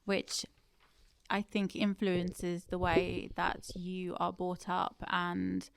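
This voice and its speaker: Woman, 30s, from Turkey and the UK, thoughtful interview guest, smooth and gravelly. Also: fairly low pitch, dry recording. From born in Turkey, raised in the UK. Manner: thoughtful, with frequent self-corrections. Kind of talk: reflective interview conversation.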